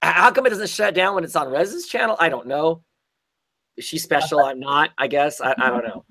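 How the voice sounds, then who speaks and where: Hoarse, scratchy-voiced man hosting. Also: on the high side, fairly quick, pushed and bright. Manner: rambling, many restarts. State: hoarse, recovering from illness. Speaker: host. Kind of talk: casual livestream chat.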